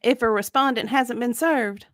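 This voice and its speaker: A woman's voice: fairly high pitch, moderate pace, a bit bright, dry recording, clean studio sound, close to an everyday voice. A woman reading the part of a guarded, evasive witness in a dramatic deposition reading, with careful, hedging answers. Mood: evasive, earnest. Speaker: dramatic reader.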